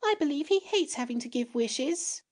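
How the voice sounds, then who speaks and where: Cast: a blunt, gloomy young girl, flat in tone but very high-pitched, for a children's storybook. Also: normal speed. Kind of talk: storybook reading.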